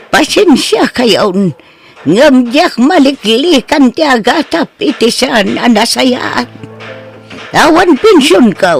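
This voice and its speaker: A woman playing a stern, resolute old woman in an Ilocano radio drama, speaking slowly and reproachfully with an Ilocano accent. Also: high-pitched, creaky, exaggerated voice. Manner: slow, emphatic, reproachful. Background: background music.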